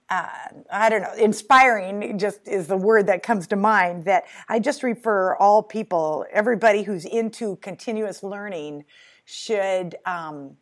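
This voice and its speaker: Enthusiastic woman in her sixties hosting. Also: medium pitch. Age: 60s. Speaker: podcast host. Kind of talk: podcast interview.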